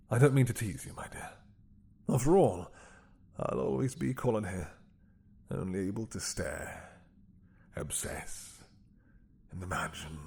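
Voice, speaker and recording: A man playing an ancient, imprisoned mad dragon king in an intimate audio roleplay, seductive and menacing, with a husky, breathy voice and a teasing, hesitant drawl. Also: deep voice, dark tone, slight background noise, heavily acted. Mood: teasing, adoring. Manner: slow, teasing, hesitant drawl with murmured asides.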